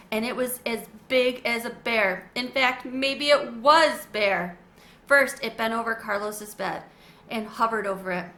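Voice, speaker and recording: A woman reading a children's chapter book aloud and then chatting about it in a warm storyteller manner. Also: a little high. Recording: echoey room, faint hiss.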